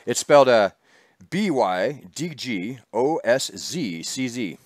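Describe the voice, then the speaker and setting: A man's voice: medium pitch, sing-song, takes it slowly, no echo. A man hosting a casual chat show, rambling and laughing as he talks. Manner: chatty, rambling, laughs often. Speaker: host. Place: small studio.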